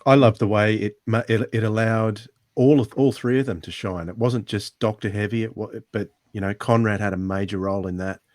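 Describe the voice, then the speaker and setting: A man's voice: fairly low pitch, steady tone, brisk, few pauses, darkish tone, no echo, clean studio sound. A man co-hosting a podcast, talking casually and putting questions to a guest. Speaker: podcast co-host. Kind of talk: casual podcast interview chat.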